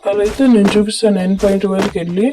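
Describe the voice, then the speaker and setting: A woman's voice: mid-range voice, little pitch movement, rapid-fire. A woman giving instructional, repetitive game tutorial commentary through a voice changer. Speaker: gaming video creator. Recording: clearly noisy home recording with clicks.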